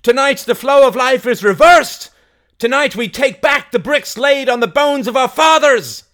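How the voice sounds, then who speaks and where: A man's voice: extremely high pitch, moderate pace, a bit bright, voice pushed up. A man voicing a fiery, defiant rebel woman who preaches to rouse a mob in declamatory audiobook oratory. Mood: fervent, defiant. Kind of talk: audiobook character acting.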